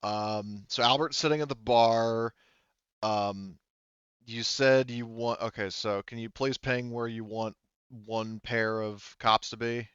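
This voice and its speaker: A man's voice: medium pitch, steady tone, slow, a bit bright, clear, resonant tone, no room sound, highs cut off. A man running an online tabletop roleplaying game as its game master.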